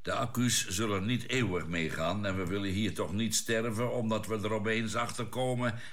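An actor playing a sober, sceptical scientist on a spaceship crew in a radio drama, thoughtful and explanatory in manner. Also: male voice, on the low side, moderate pace, fairly bright tone, close to an everyday voice. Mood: doubtful, sober. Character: sober, sceptical male scientist aboard a spaceship, reasoning carefully. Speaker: crew member.

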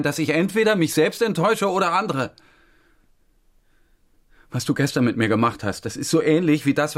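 A man's voice: fairly high pitch, natural voice. An actor playing a weary, self-doubting Syrian man who tells his story in a brooding way in a radio drama. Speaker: actor and narrator. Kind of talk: radio drama narration and dialogue.

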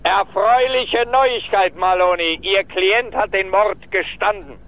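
A man's voice: high-pitched, slow pace, soft background music. An actor playing a smug, needling male caller in a radio play, gloating emphatically through a telephone filter. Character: smug, needling male caller, crowing over a solved case. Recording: thin narrow-band phone line.

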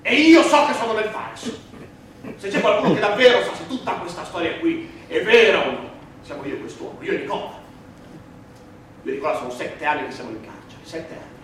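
A man's voice: high-pitched, lively intonation, fairly quick, a bit bright. Impassioned condemned prisoner played by a man, echoey hall. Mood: indignant. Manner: emphatic repetitions, rhetorical oratory. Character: impassioned condemned prisoner addressing the court, defiant and wounded. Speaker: stage actor. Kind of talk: theatrical monologue and dialogue in a stage play for radio. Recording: roomy, noisy, echoing distant stage recording.

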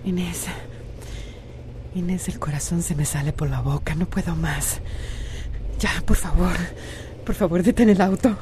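A woman's voice: fairly low pitch, unhurried, pauses often, noisy background, close to an everyday voice. A Costa Rican woman playing an anxious, fragile woman in a radio drama, faltering and pleading. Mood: faint, panicky. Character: anxious, fragile woman facing her abusive past.

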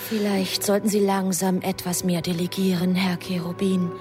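An actress playing an efficient, deferential angel in a radio drama, reporting in a precise, matter-of-fact, slightly pointed way. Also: female voice, fairly low pitch, little pitch movement, moderate pace, darkish tone, close to an everyday voice. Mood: dutiful, pointed. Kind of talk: German radio drama acting. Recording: music behind the voice.

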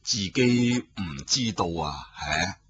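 A man voicing an old male Buddhist abbot who speaks in a slow, solemn, heavy way. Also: deep voice, lively intonation, a bit bright, husky, dry recording, dull audio, faint music behind, voice pushed down, full character voice. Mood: grave. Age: older.

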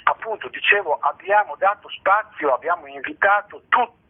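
A man with a husky voice, explaining as an interviewed festival director, often repeating and rephrasing his words as he goes. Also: high-pitched, very animated, normal speed. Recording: dull, narrow-band radio or phone-like sound.

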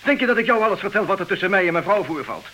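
An irritable, blustering husband in an old comic radio play. His voice is bright and forceful, set fairly high, and he stammers when flustered. The recording has a light hiss. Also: sing-song, very fast, heavily acted. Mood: irritable, indignant. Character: agitated, irritable husband in a marital comedy, snapping and sputtering.

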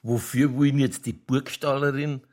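An actor playing a sardonic, teasing pub regular with a Bavarian accent and a husky voice, mock-serious, in a radio drama. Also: male voice, mid-range voice, flat delivery, moderate pace, darkish tone, lightly acted. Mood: skeptical, curious. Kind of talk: German radio crime drama acting.

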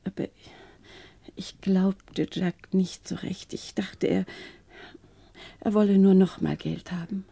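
A woman's voice: mid-range voice, steady tone, slow pace, creaky, long pauses, dark tone, breathy edge, no echo, heavily acted. An actress playing a grave, sorrowful wealthy woman confessing in a hesitant, subdued way in a radio crime drama. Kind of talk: 1960s German radio crime drama acting.

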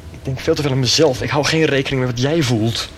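A man acting an anguished, hot-headed young man in love, in a radio soap, speaking haltingly and emotionally. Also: medium pitch, slight background noise, natural voice. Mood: hurt, confessional.

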